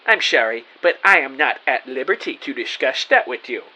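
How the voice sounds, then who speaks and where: A man playing a self-important, excitable private investigator, narrating his case theatrically in an audio drama. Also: mid-range voice, moderate pace, poor audio. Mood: intent, dramatic. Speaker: narrator and lead actor. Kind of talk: first-person detective audio drama narration.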